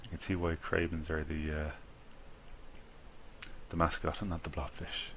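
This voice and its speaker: A man's voice: rumbling low, little pitch movement, moderate pace, fairly bright tone, slight background noise. An Irish man talking casually to himself while playing a video game, rambling and chuckling at his own mistakes. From Ireland. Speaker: gaming video creator. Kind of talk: casual gameplay commentary.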